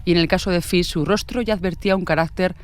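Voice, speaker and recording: A woman's voice: on the low side, steady tone, fast. A woman from hosting a podcast, reading scripted true-crime narration. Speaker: podcast host and author.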